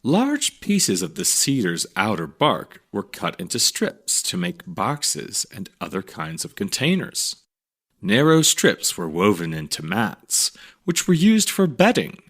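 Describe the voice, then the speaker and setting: A man reading a scripted educational story for children in a measured, clearly phrased read-aloud manner. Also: fairly low pitch, a bit bright. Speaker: narrator reading a children's magazine article.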